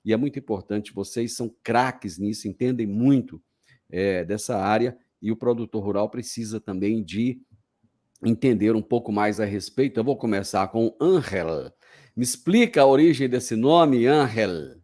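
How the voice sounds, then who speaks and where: A man's voice: on the low side, normal speed, mellow. Male host asking friendly questions. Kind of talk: casual interview.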